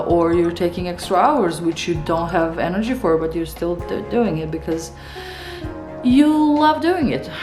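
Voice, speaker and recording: A woman in her thirties, a Serbian native speaker, talking reflectively in a casual studio vlog with lots of hesitations and soft laughs. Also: reverberant. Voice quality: low voice. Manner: reflective, hesitant, many fillers, laughs softly. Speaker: illustrator vlogger. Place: studio room indoors.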